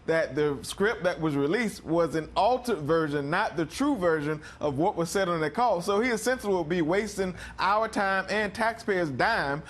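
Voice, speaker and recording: Man, American accent, slightly high pitch, political panelist, insistent, polite, debating. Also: animated, normal speed, hardly any pauses, fairly bright tone.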